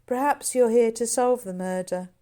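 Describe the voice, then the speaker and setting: A woman's voice: on the high side, moderate pace, warm tone, pitched above the performer's own voice, natural voice. A woman voicing an earnest boy who takes charge of a mystery with grown-up airs. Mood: earnest, eager. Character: eager, knowing boy taking charge of a mystery.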